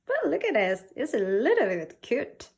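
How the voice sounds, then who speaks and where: A woman from South Korea reading a picture book to young children as a teacher, with simple, expressive talk and questions. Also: a little high, moderate pace. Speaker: children's English read-aloud teacher.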